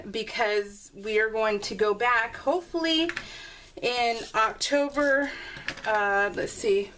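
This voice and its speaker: A woman's voice: a little high, fairly bright tone, slight background noise, rough recording. A middle-aged woman chatting casually and rambling while she works on a scrapbook layout, laughing often. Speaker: scrapbooking video creator. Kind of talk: casual voice-over while crafting.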